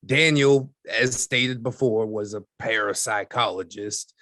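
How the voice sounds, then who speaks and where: A man acts out a folksy newspaperman being interviewed in a podcast drama. His voice sits on the low side and is recorded very dry. He speaks in measured phrases, wryly dismissive and a little condescending. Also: pushed and bright, no room sound.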